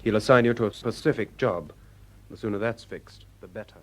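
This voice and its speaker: An actor playing a brisk, impatient male laboratory chief in a radio drama, businesslike as he hands out orders. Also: lowish voice, noisy background, lightly acted.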